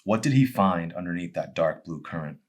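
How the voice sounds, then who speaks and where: A man, an author presenting and reading his own novel, with dramatic, deliberate phrasing. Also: deep voice, animated. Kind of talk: audiobook-style narration with casual introduction.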